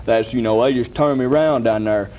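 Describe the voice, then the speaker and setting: A man's voice: mid-range voice, a little fast, mellow, low-quality recording. A man giving a rambling comic testimonial in a folksy, repetitive, emphatic way.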